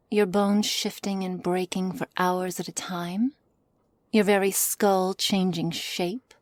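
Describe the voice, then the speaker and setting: A woman playing a cold, menacing witch experimenter in an ASMR roleplay, speaking in a breathy, measured, condescending way. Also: mid-range voice, a little mellow, dry recording. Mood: menacing, sadistic. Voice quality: breathy, often whispery. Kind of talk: ASMR roleplay, scripted acting to listener.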